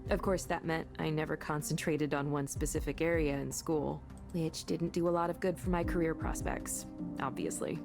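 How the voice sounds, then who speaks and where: A woman's voice: low-pitched, normal speed, creaky. A woman playing a curious, self-deprecating young woman in a visual novel, in a natural conversational way. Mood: thoughtful. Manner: conversational, animated, self-effacing. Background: game music.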